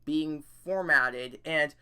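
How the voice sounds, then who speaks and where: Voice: mid-range voice, extremely slow, pushed and bright, clear, resonant tone. An earnest, emphatic YouTuber giving semi-scripted commentary on an issue. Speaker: YouTuber presenting an issue video.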